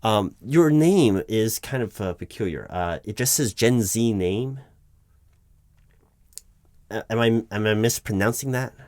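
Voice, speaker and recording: A low-pitched male voice, recorded close and dry, a man playing a flustered recruiting manager in an audio roleplay. He stammers and hesitates, staying awkwardly polite and deferential as he works through a one-sided job interview. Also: lively intonation, unhurried, pauses often, a little mellow, no echo. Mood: puzzled, hesitant. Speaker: roleplay performer.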